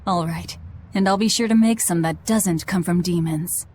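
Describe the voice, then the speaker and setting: A woman playing a blunt, aloof, world-weary young fighter in a video game, speaking in a dry, curt deadpan. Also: lowish voice, moderate pace, a little hiss or hum, close to an everyday voice. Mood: subdued. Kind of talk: English video game voice acting.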